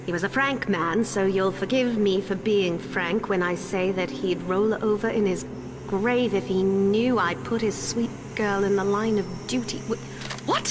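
An actress playing a devout, strong-willed housewife of the 1940s in a game, theatrical and earnest with folksy turns of phrase. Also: female voice, medium pitch, measured pace, noisy background, natural voice. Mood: hopeful, then stung. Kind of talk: dramatic game voice acting.

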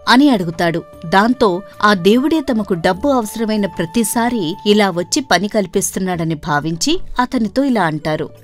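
A woman reading scripted narration as a storyteller for an animated tale. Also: mid-range voice, moderate pace. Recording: voice over background music. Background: background music.

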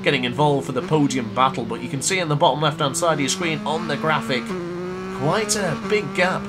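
A young man with a cold, brisk and congested, commentating a motorbike race in a game. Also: fairly high pitch. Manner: brisk commentator-style narration, self-correcting. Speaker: gaming commentator. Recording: loud game music behind.

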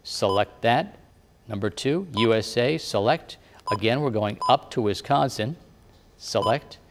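A man working as a TV meteorologist, calmly explaining a device one step at a time. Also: medium pitch, a little slow, big-room sound, noisy background. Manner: plain, patient instructive talk. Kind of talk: step-by-step demonstration. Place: TV studio weather set.

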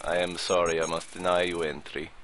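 A man's voice: deep voice, level tone, fairly quick, background noise, close to an everyday voice. A man voicing a grumpy, crude male border inspector who speaks curt, broken English while playing a game. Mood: gruff grumbling. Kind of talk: let's play commentary in character.

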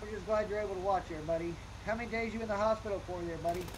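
High-pitched man in noisy game chat. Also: fairly even intonation, racing. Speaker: player in a group gaming session. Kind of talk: casual multiplayer game chatter.

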